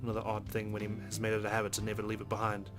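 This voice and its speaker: Male let's play host narrating game text: rapid, lowish delivery, casual, music clearly underneath. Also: monotone. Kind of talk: reading game narration aloud with casual asides. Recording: music behind the voice.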